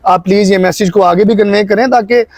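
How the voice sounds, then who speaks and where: A man's voice: high voice, steady tone, brisk, a little mellow, dry recording. A man with a Pakistani accent hosting a conversation and earnestly advising viewers, pleading and often checking in with short tags. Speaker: YouTube channel host.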